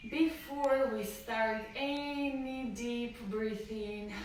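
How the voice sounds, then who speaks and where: Slow, calm woman in an echoey room. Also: fairly high pitch. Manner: slow, calm, gentle guiding. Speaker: yoga teacher. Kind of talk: live-streamed yoga class instruction. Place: room at home. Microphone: camera from a distance. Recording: echoey room, voice far from the mic, faint noise.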